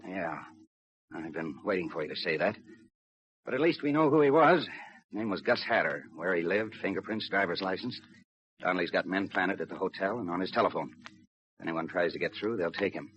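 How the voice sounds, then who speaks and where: An actor playing a steady, businesslike railroad police lieutenant, narrating and talking through his case in a 1950s radio crime drama. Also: low-pitched, fairly quick. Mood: subdued, matter-of-fact. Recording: muffled old radio recording, crowd noise.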